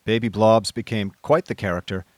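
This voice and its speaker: A man playing a chatty gentleman narrator in a radio drama, telling his story in a conversational, old-fashioned way. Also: fairly low pitch, fairly even intonation, moderate pace, close to an everyday voice. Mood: wry, reflective.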